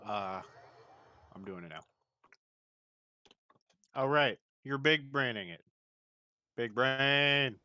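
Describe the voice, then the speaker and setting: A man's voice: mid-range voice, slow, long pauses, pushed and bright, no room sound. A man casually reacting and chatting during a gameplay stream. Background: game music.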